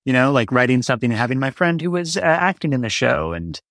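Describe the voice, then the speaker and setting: A man's voice: medium pitch, expressive, quick pace, gravelly, a bit bright, no echo, clean studio sound. A man speaking as an interview guest, a writer answering in a reflective, conversational way and searching for his words.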